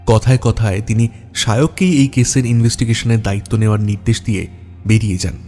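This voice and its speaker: A man's voice: medium pitch, darkish tone. Male detective-story narrator over faint music. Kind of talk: scripted audio story narration. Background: background music.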